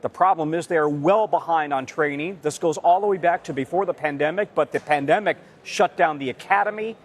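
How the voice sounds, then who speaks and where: A man's voice: on the high side, fairly quick. A man with an American accent delivering a scripted television news report as a correspondent.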